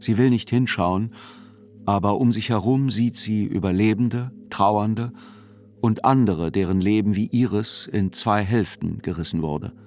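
Male narrator, very slow pace, lowish voice, sober, deliberate, literary radio play narration. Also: a little mellow. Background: faint music.

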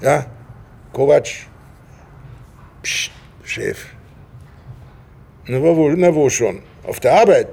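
Grumbling cop, radio drama: husky, fry-laden voice, sardonic and questioning. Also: male voice, on the high side, expressive, slow pace, long pauses, a little mellow, exaggerated voice. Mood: harried. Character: grumbling, harried male police detective.

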